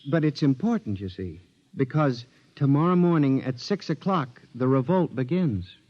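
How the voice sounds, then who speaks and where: An actor playing a frantic, pleading patient in a mental hospital, in 1950s radio drama style. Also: male voice, medium pitch, expressive, normal speed, darkish tone, natural voice. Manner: earnest, pleading, rising to frantic. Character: man, a frantic asylum patient fearing machines. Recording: dull old radio broadcast.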